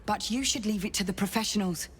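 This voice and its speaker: A woman's voice: medium pitch, fast, a little hiss or hum, lightly acted. A woman playing a dutiful city guard investigator in a game, clipped, official and dryly wry. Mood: stern, wry. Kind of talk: video game dialogue.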